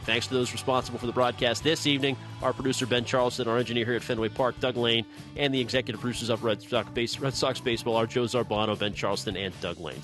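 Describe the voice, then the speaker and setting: A man's voice: mid-range voice, a bit bright. A man hosting a live radio postgame sports show, moving between recap and sponsor reads. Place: ballpark broadcast booth.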